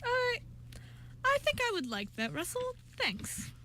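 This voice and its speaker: A woman's voice: very high-pitched, wildly swooping pitch, slow pace, sharp tone, slight background noise, pitched above the performer's own voice, full character voice. A woman voicing a frank, dutiful, teasing yet affectionate female council member in an amateur radio drama. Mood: touched.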